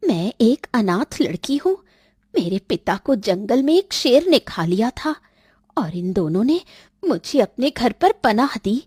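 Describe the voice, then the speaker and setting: A woman's voice: fairly high pitch, very animated, a little slow, darkish tone, breathy edge, no echo, studio quality, full character voice. A woman voicing a tearful, scheming orphan girl in a cartoon story, with a plaintive, pleading manner. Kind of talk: Hindi cartoon story dialogue.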